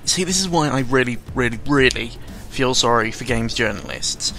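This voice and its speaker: A man's voice: medium pitch, animated, pushed and bright. Sarcastic, rambling young man over music. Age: young adult. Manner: rambling, halting, full of self-interruptions; sarcastic, self-deprecating, exasperated sighs and swearing. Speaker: YouTuber recording an audio log. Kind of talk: casual unscripted news commentary. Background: background music.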